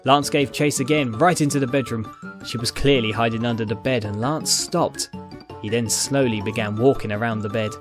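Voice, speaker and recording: A male narrator reads a story in a dramatic, scripted storytelling style. His voice sits at an average pitch, with soft music playing underneath. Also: fairly even intonation, moderate pace. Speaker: narrator, fan fiction reader.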